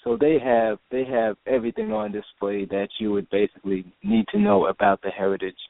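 A man from Jamaica, a guest in an interview, talking haltingly with many restarts. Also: mid-range voice, big pitch swings, creaky, dry recording. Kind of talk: casual interview talk. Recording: phone line, dry.

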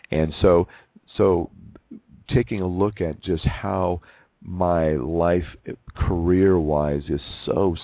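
A man in his forties, hosting a reflective personal monologue, who talks haltingly with many restarts and repeated words. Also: rumbling low, no echo. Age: 40s. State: losing his voice from overwork. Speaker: podcast host. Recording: narrow phone-like sound.

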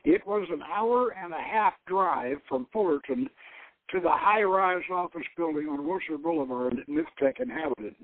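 A man with a fairly high voice reads an adventure novel dramatically and at a measured gait, occasionally tripping on a word. The sound is dry and thin, squeezed into a tinny radio-like band. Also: expressive, no echo. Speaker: show host reading his own novel.

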